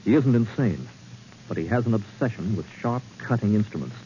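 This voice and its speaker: An actor playing a brave, level-headed young intern, earnest and measured, in 1940s radio drama style. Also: male voice, lowish voice, sing-song, warm tone. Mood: calm, resolute. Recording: dull, hissy 1940s radio recording.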